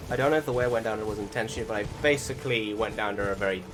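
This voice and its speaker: A man's voice: on the low side, racing, very noisy. A man giving casual, rambling and hesitant commentary over a video game, swearing now and then. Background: game music behind the voice.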